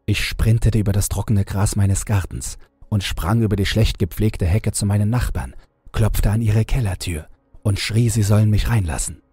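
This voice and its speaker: A man reading a scripted horror audiobook in a measured, dramatic storytelling manner. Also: fairly low pitch, dark tone, husky, no room sound. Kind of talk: scripted creepypasta audiobook narration. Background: background music.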